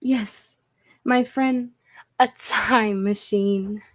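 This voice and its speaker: A woman acts out an eccentric lady scientist in a vintage-style radio play. Her voice sits fairly high and moves slowly and deliberately. She declaims with complete confidence in her own invention. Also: fairly even intonation. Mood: proud, earnest. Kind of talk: 1940s radio play acting.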